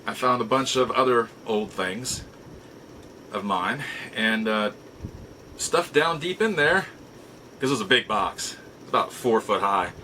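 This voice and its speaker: Bright-voiced middle-aged man, halting and reflective. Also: fairly low pitch, lively intonation, slight background noise, low-quality recording. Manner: halting, reflective, with emphatic repeats. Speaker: show host. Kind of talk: casual nostalgic storytelling to viewers.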